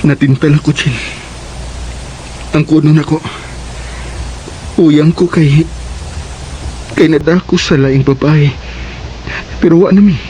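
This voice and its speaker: A man's voice: fairly high pitch, steady tone, slow, long pauses, dark tone, a little hiss or hum, low-quality recording. A man acting an ardent ex-boyfriend in a Cebuano radio drama, with an earnest, coaxing manner. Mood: remorseful, pleading. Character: Edon, pleading ex-boyfriend, ardent smooth-talking suitor.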